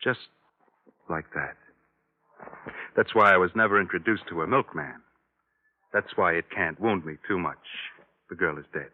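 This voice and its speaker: A man's voice: low voice, normal speed, long pauses. An actor playing a flippant, bookish male graduate student in an old radio drama, arch, wry and wordy. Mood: wry, cynical. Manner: arch, wry, affected wordiness. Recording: narrow, tinny old radio recording.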